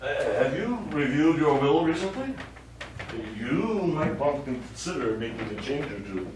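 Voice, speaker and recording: A man on stage plays a self-satisfied, lecherous lawyer, theatrical and leering. His middle-pitched voice comes from a distance in a very echoey hall, with faint noise behind it. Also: animated, moderate pace, darkish tone, natural voice. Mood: tipsy joviality. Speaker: stage actor. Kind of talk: live comic one-act stage play acting. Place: small theatre studio stage.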